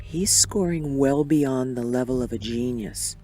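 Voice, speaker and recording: A man playing a concerned, serious doctor in a superhero fan dub, reporting in a measured, matter-of-fact way. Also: medium pitch, dark tone, faint music behind, lightly acted.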